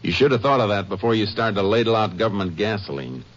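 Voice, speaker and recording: Low, firm lawman, an actor in the role; muffled vintage radio drama recording. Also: expressive, fast, slight background noise, close to an everyday voice. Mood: pressing. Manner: clipped, firm, interrogating. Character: steady, hard-pressing male Texas Ranger investigator. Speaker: lead detective and narrator. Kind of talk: 1950s radio drama acting. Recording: muffled old radio broadcast.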